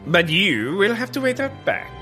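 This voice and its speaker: A woman's voice: medium pitch, very animated, moderate pace, bright, forceful tone, soft background music. A woman playing a haughty, fussy French maitre d' in a comic audio drama, with a French accent. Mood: snooty, officious. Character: snooty French maitre d' of a posh club.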